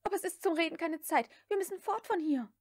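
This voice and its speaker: A woman's voice: very high-pitched, lively intonation, quick pace, darkish tone, no room sound, studio quality, voice pushed up. A woman voicing a desperate, willful young woman in an audiobook, urgent and prone to shrieking. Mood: frightened, impatient. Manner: urgent, shrieking when pushed. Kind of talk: dramatic audiobook character reading.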